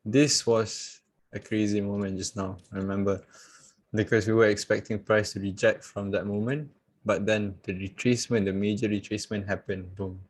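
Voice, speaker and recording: A man teaching trading on a live video call, chatty and relaxed, laughing and talking straight to his viewers. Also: fairly low pitch, normal speed. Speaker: trading coach. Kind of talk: casual live-stream trading lesson.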